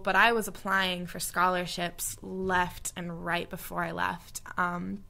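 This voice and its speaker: A woman's voice: lowish voice, creaky, bright, forceful tone. A young woman from the United States, a study abroad alumna, giving earnest, heartfelt reflections in an interview, with frequent fillers. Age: young adult.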